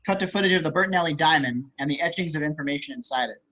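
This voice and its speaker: Brisk, bright man reading stage directions. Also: a little high. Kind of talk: scripted narration, screenplay table read. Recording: slightly dull video-call audio.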